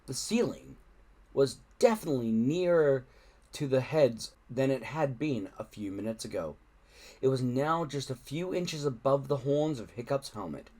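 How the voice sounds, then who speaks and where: A man reading a children's adventure book aloud in an expressive narrating style. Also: mid-range voice, moderate pace. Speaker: audiobook-style reader.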